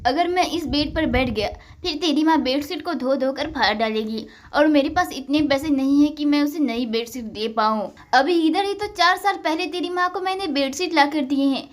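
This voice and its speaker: A woman's voice: moderate pace, hardly any pauses, natural voice. A woman doing a stingy village husband. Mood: stubborn. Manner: lowered pitch, miserly and defensive. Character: stingy middle-aged village husband, grumbling.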